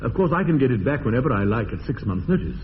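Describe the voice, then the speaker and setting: An actor playing an earnest, slightly nervous English gentleman in a radio comedy, with an upper-class accent and a polite, hesitant manner. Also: medium pitch, sing-song, quick pace, natural voice. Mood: polite, composed. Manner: courteous, hesitant, apologetic. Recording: dull lo-fi radio recording, faint noise.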